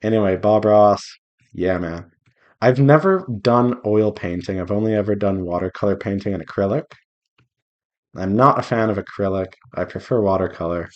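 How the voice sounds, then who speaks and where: A man's voice: lowish voice, moderate pace, darkish tone, lo-fi sound. A man chatting casually and playfully to himself and his viewers while he draws, rambling along as an indie game developer on a devlog. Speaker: visual novel developer streaming while drawing.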